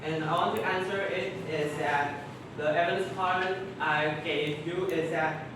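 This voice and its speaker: A fairly high-voiced man, his Chinese, answering debate questions in halting English with many restarts. He sounds distant from the mic in a very echoey room. Steady background noise sits under his voice. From Taiwan. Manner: halting, searching for words, frequent restarts. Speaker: student debater. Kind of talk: debate crossfire.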